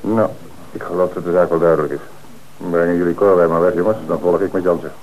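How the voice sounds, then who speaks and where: A man plays a police commissioner in an old radio drama, calm and in command. His voice sits on the low side. The recording is dull and slightly hissy. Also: quick pace, lightly acted. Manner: calm, authoritative, explanatory.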